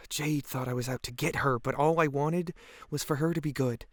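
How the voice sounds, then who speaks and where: A man's voice: a little high, fairly even intonation, moderate pace, no echo, clean studio sound, lightly acted. A man acting a guilt-ridden, earnest teenage boy giving hesitant, reflective testimony in an audio drama. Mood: regretful. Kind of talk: audio drama monologue, police interview testimony.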